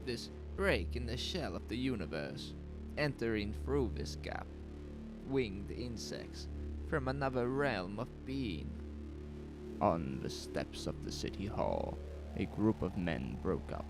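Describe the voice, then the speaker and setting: A man narrating a horror audio drama in a suspenseful, deliberate way, with dramatic pauses. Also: lowish voice, lively intonation, rough recording. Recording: music under the voice. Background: music.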